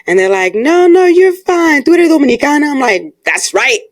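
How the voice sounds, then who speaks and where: A woman's voice: high voice, normal speed, no room sound, clean studio sound. A woman from the United States chatting casually on a podcast, acting out what other people say to her. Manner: animated, acts out quoted voices.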